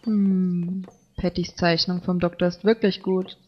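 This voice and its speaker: A woman's voice: lowish voice, flat delivery, unhurried, highs cut off. A woman playing a fussy, earnest, obsessive teenage boy in an anime audio drama, with a solemn, finicky manner. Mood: pensive.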